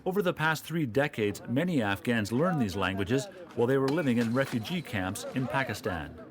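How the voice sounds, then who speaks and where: A man giving calm, scripted documentary narration. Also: medium pitch, normal speed, a crowd in the background.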